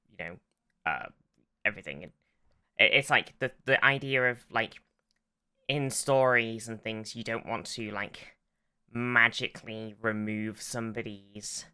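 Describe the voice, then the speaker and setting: A man co-hosting a podcast, discussing in a casual style and feeling his way haltingly through his explanations. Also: on the low side, pushed and bright, smooth. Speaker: podcast co-host. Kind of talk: casual pop-culture discussion.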